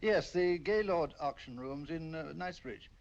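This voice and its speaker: A man's voice: fairly high pitch, measured pace, darkish tone. An actor with a British accent playing an affable male collector, chuckling as he talks in 1940s film style. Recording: dull old film soundtrack.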